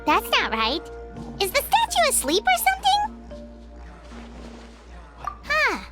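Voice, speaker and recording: A tiny floating fairy girl from a video game. The voice is extremely high and squeaky, swinging up and down with bubbly exclamations, over game music. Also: huge pitch swings, takes it slowly, heavily acted. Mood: baffled. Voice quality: squeaky, childlike. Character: small floating fairy-like girl companion, excitable and chatty. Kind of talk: English video game voice acting.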